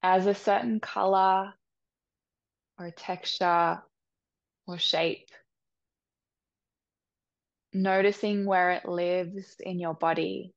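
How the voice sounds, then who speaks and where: Slow, gravelly-voiced woman guiding meditation. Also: on the low side, fairly even intonation, no room sound, lo-fi sound. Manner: soft, slow, soothing instructions with long pauses. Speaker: meditation guide. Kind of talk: guided meditation.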